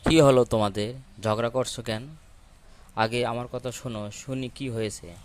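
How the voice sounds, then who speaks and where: A man playing bossy, know-it-all village men in a children's cartoon fable, loud and emphatic as he explains. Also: mid-range voice, steady tone, moderate pace, a little hiss or hum, lightly acted. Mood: firm. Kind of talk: children's cartoon story acting. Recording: loud music behind the voice.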